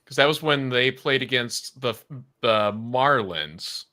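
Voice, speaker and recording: A man's voice: medium pitch, animated, takes it slowly, sharp tone. A man chatting casually as a broadcast sidekick. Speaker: co-host guessing at trivia. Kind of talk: casual chat.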